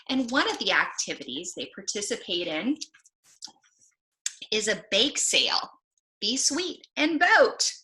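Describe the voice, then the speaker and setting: Woman, bright and animated, children's storytime reader, deliberate emphatic delivery. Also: on the high side, rough recording. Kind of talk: expressive read-aloud and presenting for children.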